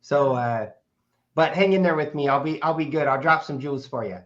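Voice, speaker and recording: Guest, male, 50s, raspy and a bit hoarse, rambling and casual, dull lo-fi sound. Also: fairly high pitch, fairly quick. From Portland, Oregon, USA. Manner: rambling with frequent fillers and restarts. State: hoarse from speaking over the weekend. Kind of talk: casual conversational storytelling. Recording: dull lo-fi audio.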